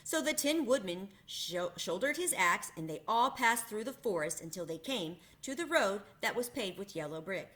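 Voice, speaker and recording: A woman reading a children's book aloud, narrating with expressive storytelling. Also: medium pitch, normal speed, few pauses. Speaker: reader.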